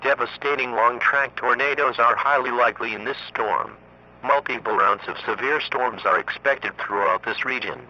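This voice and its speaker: Male emergency announcer, very sharp forceful tone, monotone synthetic delivery, muffled lo-fi radio sound. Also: medium pitch, moderate pace. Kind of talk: scripted weather warning announcement. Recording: muffled lo-fi radio broadcast, faint noise.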